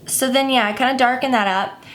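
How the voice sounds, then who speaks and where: A woman's voice: high voice, a little fast, fairly bright tone. A woman giving a casual makeup tutorial, chatty and rambling with many fillers, a bit stuffy and scratchy. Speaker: makeup vlogger.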